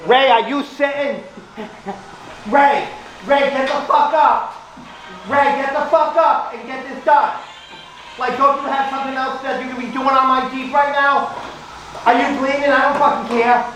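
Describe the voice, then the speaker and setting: A man's voice: extremely high pitch, steady tone, normal speed, fairly bright tone, heavy reverb, distant mic, soft background music, pitched above the performer's own voice, rough recording. A man doing an impression of a bossy, foul-mouthed Jeep owner impatiently nagging his mechanic. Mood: impatient, demanding. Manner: demanding, heavy-handed, swearing impatiently.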